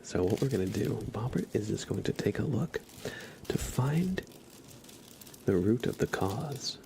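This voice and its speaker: A man with a low, creaky voice speaks in gentle ASMR style, slipping between whispers and soft speech. He takes his time, close to the mic, with clear background noise and crinkling. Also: animated, a little slow. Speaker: ASMR live streamer.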